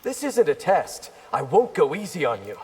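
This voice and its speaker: A man's voice: fairly high pitch, huge pitch swings, moderate pace, noisy background. A man playing a friendly, competitive young warrior in a video game, light and confident. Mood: playful. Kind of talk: video game character acting.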